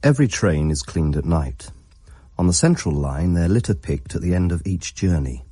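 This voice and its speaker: An actor playing a solemn chronicler, delivering scripted narration in a radio drama in a measured, deliberate way. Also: male voice, deep voice, lively intonation, mellow, husky. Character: solemn male chronicler of Underground facts.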